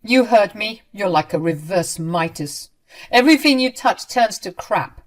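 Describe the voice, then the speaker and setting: A woman voicing a cruel, scornful middle-aged mother-in-law who scolds in a shrill, loud voice. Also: fairly even intonation, a little slow. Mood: furious, scornful.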